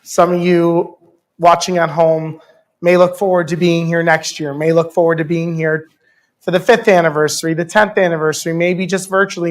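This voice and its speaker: Panel moderator, earnest and affirming, addresses the room and the home audience. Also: medium pitch. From Canada. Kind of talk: heartfelt panel talk.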